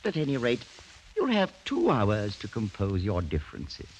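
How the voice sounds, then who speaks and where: An actor playing a cruel, scheming older aristocratic uncle in a mid-century radio drama, speaking with mock courtesy and theatrical relish. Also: male voice, on the low side, huge pitch swings, moderate pace. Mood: smug relish. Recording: noisy vintage radio recording.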